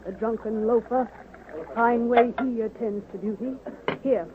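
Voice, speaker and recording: An actress playing a tough, blunt, middle-aged widowed army nurse, fiercely protective, in 1930s-40s radio drama style. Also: female voice, a little high, a little slow, lightly acted. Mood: indignant, scornful. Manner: blunt, commanding, indignant. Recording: tinny, narrow-band old radio recording.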